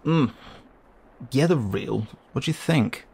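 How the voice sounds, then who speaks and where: A man voicing a cheeky, skittish young raccoon boy in an audio roleplay, muttering and grumbling with halting, sarcastic protests. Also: medium pitch, lively intonation, gravelly, darkish tone, breathy edge, full character voice. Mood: cheeky, defensive.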